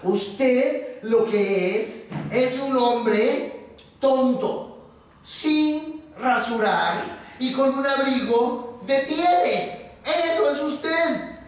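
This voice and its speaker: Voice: medium pitch, lively intonation, measured pace, close to an everyday voice. A storyteller voicing an angry, bad-tempered male factory foreman who barks orders and scolds, in a live story. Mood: furious scolding. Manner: barking orders, rudely scolding. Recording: very echoey room, distant mic, faint noise.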